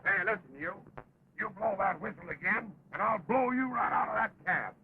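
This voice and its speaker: A man's voice: high-pitched, lively intonation, moderate pace, creaky, music in the background. A man playing a feisty, irritable character in an early talkie Western, hollering and blustering. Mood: blustering anger. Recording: tinny, noisy 1929 film soundtrack.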